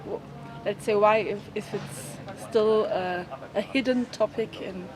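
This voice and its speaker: A woman, speaking in a casual interview and pausing to find her words. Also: medium pitch, a crowd in the background. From Germany. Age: 20s. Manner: thoughtful, pauses to find words. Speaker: youth rally participant. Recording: noisy outdoor recording.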